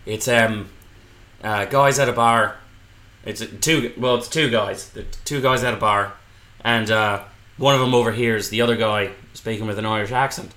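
A man's voice: on the low side, normal speed, reverberant. A man co-hosting a podcast, chatting casually about a movie and telling jokes with lots of fillers. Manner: animated, loose joke-telling with fillers. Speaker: podcast co-host.

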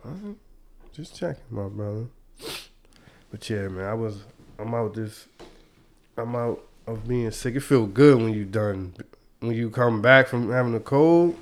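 A young man hosting a podcast in a casual, slangy way, stuffy and congested from a cold. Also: medium pitch. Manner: laid-back, slangy, chuckling. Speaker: podcast host.

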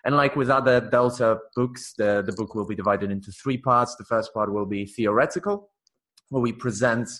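A man talking as an interview guest, explaining his ideas at length in a measured, earnest way. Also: medium pitch, a bit bright. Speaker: guest, teacher and author. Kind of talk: casual interview chat.

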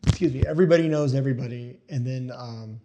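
A man's voice: mid-range voice, quick pace, dark tone, poor audio. A middle-aged man from East Tennessee, a filmmaker chatting in an interview, rambling with many fillers and restarts. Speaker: filmmaker guest. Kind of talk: casual interview chat.

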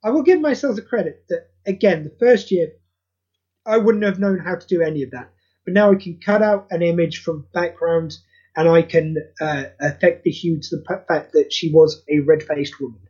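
Chatty husky man, lo-fi. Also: a little high, normal speed, dark tone. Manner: chatty, self-deprecating, rambling with many restarts. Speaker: podcast host and audio play creator. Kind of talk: casual podcast chat.

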